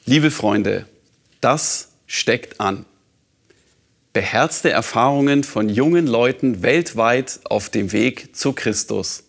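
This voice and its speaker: A man presenting a program, introducing and reading listener letters in a calm, earnest way. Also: medium pitch, slow pace, vocal fry.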